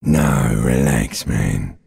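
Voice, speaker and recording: A man voicing an ancient reptilian brain in a video game, a seductive, menacing, world-weary inner voice with a guttural rasp and sardonic crooning. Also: rumbling low, a little mellow, heavily acted. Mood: taunting, seductive. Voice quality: gravelly, raspy, guttural croak. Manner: slow, drawn-out, mock-soothing, sardonic crooning. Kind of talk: theatrical video game voice acting.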